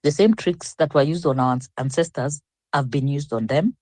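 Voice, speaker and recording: A woman's voice: low-pitched, moderate pace, mellow, dry recording. An older woman speaking as a panelist in an online discussion, reflective and rambling with frequent restarts.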